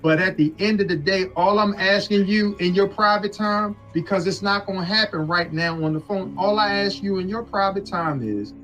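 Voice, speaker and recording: A man's voice: sits high, moderate pace, few pauses, fairly bright tone. A man arguing on a phone call, insistent and lecturing as he lays out his point. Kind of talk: argumentative phone conversation. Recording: leaked phone call with music underneath.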